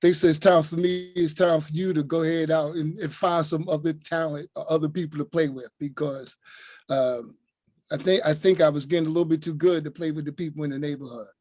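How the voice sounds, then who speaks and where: A man's voice: on the high side, steady tone, brisk, darkish tone, no echo, soft background music. Older man, easygoing storyteller, dry sound. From Newark, New Jersey. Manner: easygoing, leans on "you know what I'm saying". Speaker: retired coach, guest. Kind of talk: relaxed storytelling.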